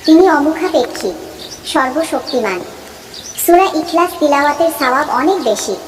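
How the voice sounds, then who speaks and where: Woman narrator, very high voice, scripted children's cartoon storytelling, long reverb, faint noise. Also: expressive, normal speed.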